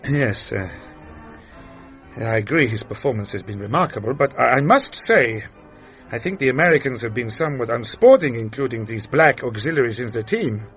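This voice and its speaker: An actor playing a Nazi official, formal and measured, politely insinuating in a radio drama. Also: male voice, medium pitch, animated, fairly bright tone. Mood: silky menace. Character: courteous, menacing Nazi official, adult man. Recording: muffled radio drama with music behind.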